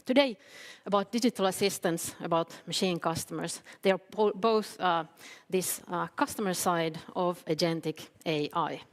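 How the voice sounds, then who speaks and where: Finnish woman doing a conference talk, kinda low voice, lots of uhs and restarts, big echoey hall. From Finland. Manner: hesitant, many fillers and restarts. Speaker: keynote speaker. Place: event hall. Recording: long hall reverb.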